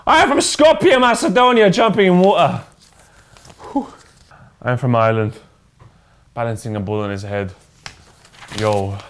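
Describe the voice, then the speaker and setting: Young male football show co-host, loud hype-man energy, casual studio chat. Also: mid-range voice, unhurried, long pauses. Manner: hypes segments with loud chanted shouts. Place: studio set with sofas.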